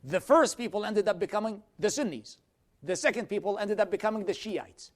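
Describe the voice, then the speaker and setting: A man from Iraq with a high voice teaches a church class. He explains step by step and answers questions from the room directly. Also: expressive, fairly quick. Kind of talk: lecture with audience questions.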